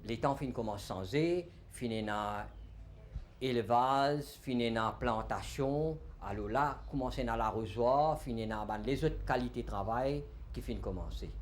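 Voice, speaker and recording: Mauritian tinsmith guy talking about his trade, halting, gropes for words, middle-aged. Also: mid-range voice, a little hiss or hum. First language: Tamil. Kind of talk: interview answers.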